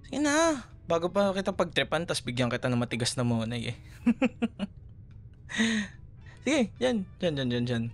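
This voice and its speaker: A man's voice: on the high side, sing-song, normal speed, soft background music. A Filipino man playing a chatty, teasing café worker in a casual, naturalistic boyfriend roleplay audio. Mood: playful, teasing. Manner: chatty, playful, casual conversational.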